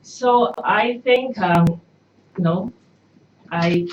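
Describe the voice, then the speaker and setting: A middle-aged woman, a native Cantonese speaker, answering press questions as a party candidate in a measured way with hesitations. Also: mid-range voice. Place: party office press room. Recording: echoey room, far mic, dull noisy.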